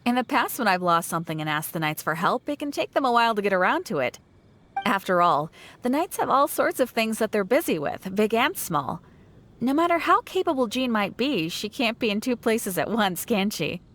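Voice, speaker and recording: A woman's voice: on the high side, sing-song, moderate pace, a bit bright. A woman playing a friendly, playful townswoman in a fantasy video game, chatty and gracious. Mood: appreciative. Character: friendly, teasing female shopkeeper in fantasy town.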